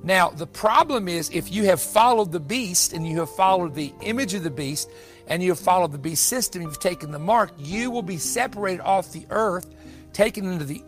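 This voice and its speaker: A man's voice: high voice, fairly bright tone. A man preaching an evangelical prophecy sermon in an emphatic, exhorting way, repeating phrases for weight. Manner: emphatic, repetitive, exhorting delivery. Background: background music.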